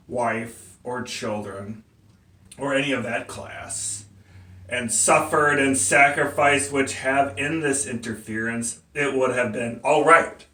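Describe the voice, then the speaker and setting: A man playing a defiant, devout condemned abolitionist captain giving a halting, emphatic courtroom speech in a theatrical monologue. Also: mid-range voice, sharp tone. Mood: grave, pleading. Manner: halting, emphatic oratory with stumbles. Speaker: poet and playwright performing a monologue. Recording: echoey room, distant microphone.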